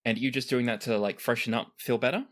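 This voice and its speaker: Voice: mid-range voice, fairly even intonation, fast talker, fairly bright tone. A game master improvising narration for a tabletop role-playing game in a conversational way.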